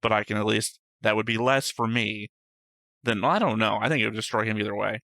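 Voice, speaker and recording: A man's voice: fairly low pitch, steady tone, brisk, gravelly, bright, forceful tone, dry recording. A man co-hosting an anime reaction show, rambling and arguing his points while searching for words. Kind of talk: casual discussion.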